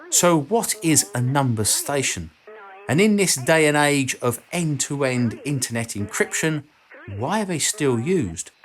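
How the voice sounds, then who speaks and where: A man narrating a scripted explanatory documentary in a measured, informative manner. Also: mid-range voice. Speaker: documentary video narrator and presenter.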